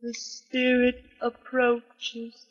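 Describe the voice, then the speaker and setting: A woman's voice: fairly high pitch, completely flat delivery, very slow. An actress playing a dramatic, scheming female spirit medium in a theatrical 1940s radio style. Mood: solemn, chanting. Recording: dull 1940s radio recording.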